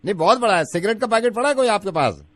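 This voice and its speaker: A man making comic radio prank calls, insistent and wordy, keeping a polite, deadpan manner. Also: high-pitched, racing. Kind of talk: comic prank calls in assumed everyday characters.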